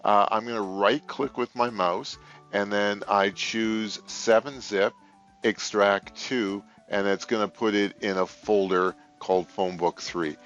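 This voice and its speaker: Low-pitched man walking through a tutorial. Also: steady tone, a little slow, a bit bright, dull audio, soft background music. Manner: plain, informal, instructional, thinking aloud. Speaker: software tutorial presenter for a telecom retailer. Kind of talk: step-by-step screen tutorial, unscripted narration.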